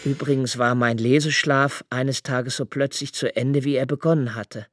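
A man's voice: medium pitch, fairly even intonation, normal speed, close to an everyday voice. An actor playing a lonely young male poet, narrating a radio play with an earnest, intense reading over faint music. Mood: reflective.

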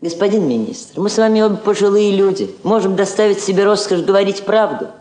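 An actress playing an elderly Soviet woman ambassador in a 1960s radio drama, courteous and measured, shrewd and firm beneath subtle irony. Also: mid-range voice, mellow, lightly acted. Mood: earnest, composed. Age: older. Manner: measured, diplomatic, subtly ironic. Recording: roomy vintage drama recording with music.

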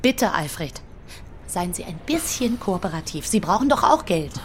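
A woman playing a brisk, insistent, sarcastic publisher badgering her author in a German radio play. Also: on the low side, very animated, slight background noise. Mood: insistent, bossy.

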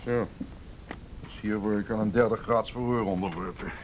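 A man's voice: lowish voice, steady tone, moderate pace, a little hiss or hum, natural voice. An actor in a radio play voicing a defensive businessman, indignant and evasive, stammering under pressure. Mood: uneasy, evasive. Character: defensive, blustering businessman, a stepfather accused by police. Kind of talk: naturalistic radio play acting.

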